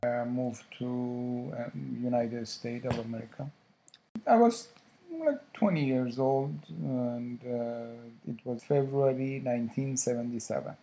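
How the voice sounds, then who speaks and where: A man, recalling his past in a slow, halting way with many pauses. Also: mid-range voice, vocal fry, warm tone, faint music behind, rough recording. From Iran. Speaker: interviewee, immigrant parent. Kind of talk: casual recollection.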